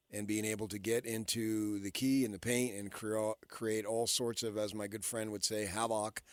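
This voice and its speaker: Low-voiced man hosting sports talk radio. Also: dry recording, clean studio sound. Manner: deliberate, measured, formal phrasing.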